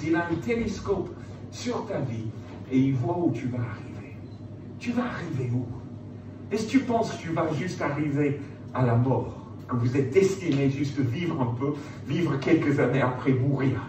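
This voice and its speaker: Animated male preacher in an echoey room. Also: on the high side, moderate pace. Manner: conversational, rhetorical questions, emphatic repetition. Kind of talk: informal motivational sermon to young listeners. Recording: echoey room, distant mic, noticeable background noise.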